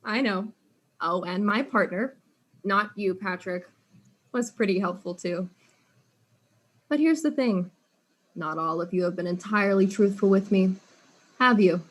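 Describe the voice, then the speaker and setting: Woman playing a self-satisfied detective, medium pitch. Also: unhurried, long pauses, lightly acted.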